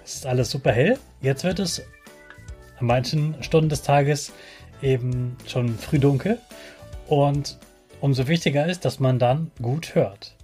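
A man's voice: medium pitch, measured pace, a little mellow, breathy edge, soft background music. A man hosts a children's podcast, talking directly to the child in simple, encouraging, motivational words.

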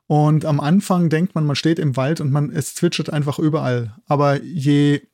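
A man's voice: on the high side, steady tone, moderate pace, a little mellow, dry recording, studio quality. A man from Germany with a German accent, explaining things as an expert guest, with hesitant phrasing and frequent tag questions. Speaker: guest, university zoologist. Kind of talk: explanatory interview talk.

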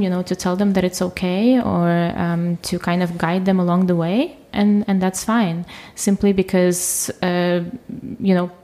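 A young adult woman from Ukraine, a guest in an interview, speaking casually with many fillers and restarts. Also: medium pitch. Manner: relaxed, lots of fillers and restarts.

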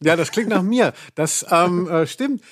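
A man hosting a podcast in casual chat, rambling in a halting, wry way. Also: high voice, expressive. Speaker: podcast host.

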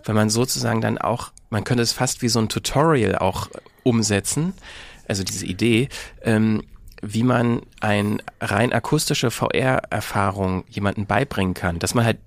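A man's voice: on the low side, normal speed, vocal fry, hardly any pauses. A man explaining things in a casual discussion, feeling his way with self-corrections.

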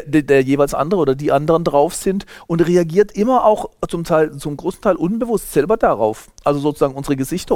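A man's voice: a little high, darkish tone, dry recording. A man in his fifties from Germany, a sociologist being interviewed, explaining at length in a lecturing way with frequent hesitations and restarts. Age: 50s. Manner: lecturing, many fillers and restarts. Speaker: sociologist guest. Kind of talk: thoughtful explanatory interview talk.

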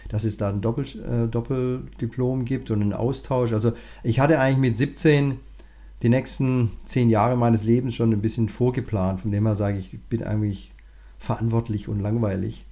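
A man, a management consultant, talking through his career in a relaxed interview, thinking aloud with many restarts. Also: medium pitch, moderate pace, muffled recording. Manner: thoughtful, many fillers and restarts. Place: lives in Cape Town, remote interview.

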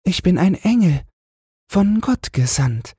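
A man putting on a high, soft angelic maiden. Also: very animated, slow, breathy edge, dry recording, studio quality, heavily acted. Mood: solemn, gentle. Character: gentle young female angel, solemn and kind. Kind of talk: fairy tale audiobook.

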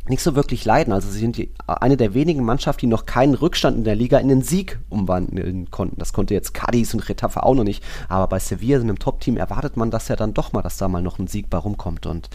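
A man's voice: fairly low pitch, quick pace, hardly any pauses, darkish tone. A man with a casual, conversational delivery, talking as a host on a football podcast.

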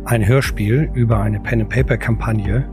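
A man reading scripted audio drama narration in a measured, solemn storytelling manner. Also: fairly low pitch, fairly even intonation. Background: music.

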